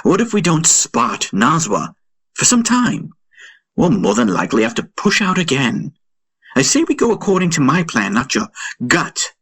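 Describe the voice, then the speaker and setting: Excitable, husky young starship officer, acted by a man. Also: on the high side, normal speed, pitched above the performer's own voice, heavily acted. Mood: agitated, insistent.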